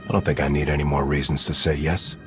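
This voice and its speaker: A man's voice: a bass voice, level tone, fast, music in the background. A man playing a stoic military commander in a video game, speaking in a terse, level, businesslike way. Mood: cool, questioning. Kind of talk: video game cutscene acting.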